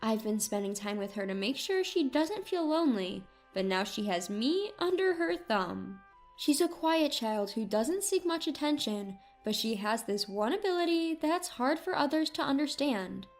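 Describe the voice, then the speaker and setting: Casting: female narrator, high voice, scripted first-person storytelling over faint music. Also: very animated, moderate pace.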